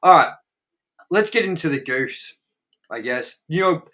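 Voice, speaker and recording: A man's voice: on the high side, animated, measured pace, fairly bright tone. A man hosting a casual, sweary movie review show, talking in a sarcastic, exasperated way.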